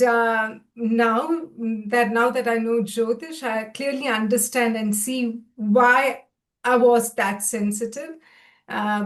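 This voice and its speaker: A middle-aged woman from India, a podcast guest telling her life story in a chatty, self-deprecating way over a video call. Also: a little high. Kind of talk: conversational storytelling.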